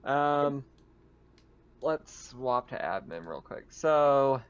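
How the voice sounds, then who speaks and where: A man's voice: mid-range voice, expressive, extremely slow, clean tone, lo-fi sound. A man running a tabletop horror game as game master over online voice chat, describing scenes in casual, conversational talk. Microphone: online voice call.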